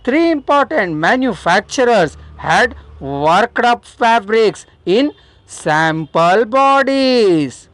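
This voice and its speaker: A man narrates a self-help book at an unhurried pace. His pitch rises and falls a great deal as he stresses key words. The voice sits in a dry, close space with no room echo. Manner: slow, deliberate read with lively emphasis. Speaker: audiobook narrator. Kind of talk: scripted self-help audiobook reading.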